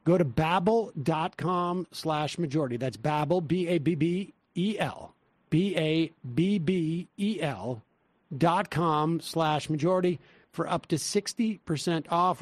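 A man's voice: fairly high pitch, a little slow, no room sound. A man with a Massachusetts accent co-hosting a podcast, reading a sponsor spot in a loose, rambling way with many fillers and restarts. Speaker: podcast co-host. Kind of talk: casual political talk and host-read ad.

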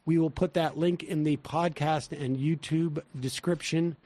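A man's voice: on the high side, fairly even intonation, a little slow, mellow, dry recording. Rambling Massachusetts man reading an ad. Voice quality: loose, rambling delivery with fillers and restarts. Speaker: podcast co-host. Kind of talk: casual political talk and host-read ad.

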